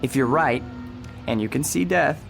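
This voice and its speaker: A man's voice: mid-range voice, lively intonation, normal speed, gravelly, music in the background. An actor playing a policeman in a radio drama, hesitant, conversational and placating. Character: reassuring, injured policeman humouring an old woman. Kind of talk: radio drama acting, naturalistic.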